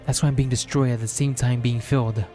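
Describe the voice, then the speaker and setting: A man reads a visual novel aloud as dramatic first-person narration, measured and solemn, in short deliberate phrases. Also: medium pitch, fairly even intonation, mellow. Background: background music.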